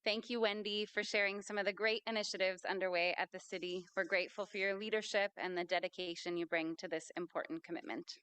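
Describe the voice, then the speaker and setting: A woman emcees a public event in a large city hall space and reads scripted introductions. Her voice is smooth and resonant, and her delivery is polished and formal. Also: mid-range voice, steady tone, fast, few pauses, sharp tone.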